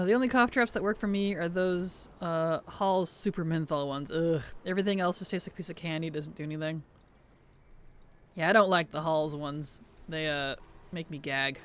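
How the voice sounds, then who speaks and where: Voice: medium pitch, normal speed. Chatty streamer with a cough drop. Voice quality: sensitive, slightly rough throat. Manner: chatty, answers chat while playing. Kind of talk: casual gameplay chat.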